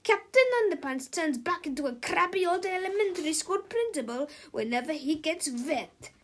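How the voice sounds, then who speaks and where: A woman voicing an excitable, cocky male villain in a read-aloud children's storybook, loud, brash and gloating. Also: very high-pitched, moderate pace, slight background noise, pitched above the performer's own voice.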